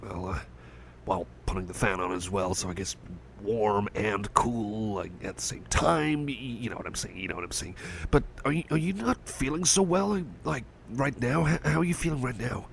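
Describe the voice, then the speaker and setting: A man's voice: mid-range voice, moderate pace, gravelly, close to an everyday voice. A man playing a shy, caring cyborg friend in an ASMR roleplay, speaking hesitantly with stammers and earnest reassurance. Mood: concerned, caring. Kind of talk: ASMR personal attention roleplay. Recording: faint background noise and ambience.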